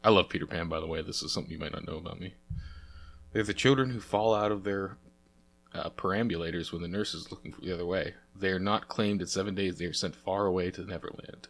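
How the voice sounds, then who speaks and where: A deep-voiced young man hosts a relaxed game playthrough, sounding congested and sniffly from a cold. He rambles along casually, dropping frequent fillers as he clicks through. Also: fast, gravelly. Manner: chatty, rambling, lots of uh fillers. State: stuffy nose from a cold. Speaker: let's play host.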